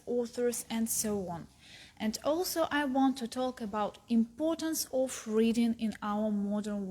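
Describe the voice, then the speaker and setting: A young woman, a university student whose first language is Russian, giving a careful, halting talk about books in English, with many pauses. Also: a little mellow. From Kazakhstan. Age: young adult. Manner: rises in pitch at the start of each new point. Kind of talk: recorded book talk.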